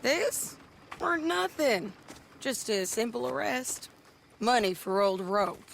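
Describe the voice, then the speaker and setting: An actress playing a tough, bossy bounty hunter in a western game, commanding and brash. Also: female voice, on the high side, very animated, slow pace, vocal fry, slight background noise, full character voice. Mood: businesslike.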